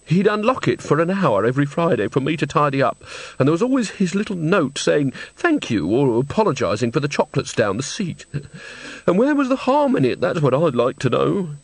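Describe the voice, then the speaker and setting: A man's voice: a little high, big pitch swings, a little fast, breathy edge, no room sound, pitched above the performer's own voice, full character voice. A man reading a storybook, voicing a frightened, tearful little old woman who speaks in a fretful, plaintive, sniffling way. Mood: tearful distress.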